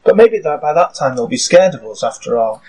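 A man's voice: a little high, sing-song, fairly quick, a loud crowd. A man playing a tabletop game, talking casually with hesitant, polite phrasing. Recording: distant from the microphone.

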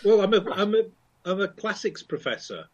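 A man's voice: high voice, big pitch swings, moderate pace, a little mellow, highs cut off. A man playing a tabletop game, hesitant and stumbling over his restarts. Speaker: player. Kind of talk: casual tabletop play.

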